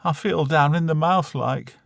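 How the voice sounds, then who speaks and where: A man's voice: a little high, a little slow, vocal fry, dry recording, clean studio sound, pitched above the performer's own voice. A man voicing a plaintive, matter-of-fact working-class mother with a Northern English accent in a comic verse story. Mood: plaintive, glum.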